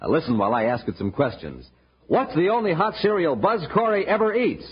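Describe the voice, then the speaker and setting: A man's voice: on the high side, big pitch swings, a little fast, slightly rough. A man announcing in the style of a 1950s children's radio show, punchy and enthusiastic. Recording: muffled old radio recording, slight noise.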